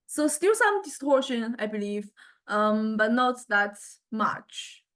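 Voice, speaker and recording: A woman lecturer giving an informal, unscripted software demonstration, with halting phrasing and frequent check-in questions. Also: on the high side, big pitch swings.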